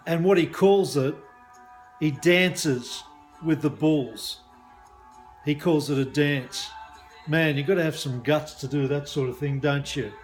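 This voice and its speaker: A man's voice: on the high side, slow, soft background music. An Australian man, a mindset coach, talking casually to viewers in a matey, colloquial way. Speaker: business mindset coach and hypnosis practitioner. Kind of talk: casual chatty video talk to viewers.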